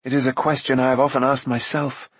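A man's voice: mid-range voice, level tone, quick pace, husky, dry recording, lightly acted. A man voicing an earnest, loyal young pig-keeper hero in a fantasy storybook, heartfelt and full of self-doubt. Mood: bitter regret.

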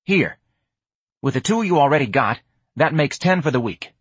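A man's voice: a little high, sing-song, normal speed, breathy edge, no room sound, voice pushed up. A man voicing a cocky, streetwise young male hustler who wheedles in casual slang for an audiobook. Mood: wheedling. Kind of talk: audiobook character acting.

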